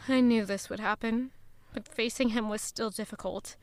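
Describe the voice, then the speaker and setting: A woman playing a quietly resolute young woman in an audio drama, narrating in a measured, reflective way. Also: fairly high pitch, fairly even intonation, lightly acted. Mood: brooding, wistful. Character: guilt-ridden, quietly resolute young woman returning to her hometown. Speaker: narrator and lead character. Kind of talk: first-person scripted audio drama narration.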